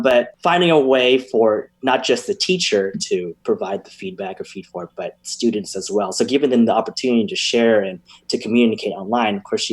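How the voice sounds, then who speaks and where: A man, a guest educator, enthusiastically explaining teaching ideas in a casual podcast discussion, often restarting his sentences. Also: medium pitch.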